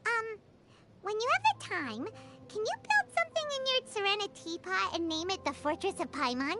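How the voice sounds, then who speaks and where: A woman playing a tiny, excitable, childlike floating companion in animated video game dialogue, squeaky and easily indignant. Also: normal speed, soft background music, heavily acted. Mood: wishful, impressed. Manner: speaks of herself in third person, excitable.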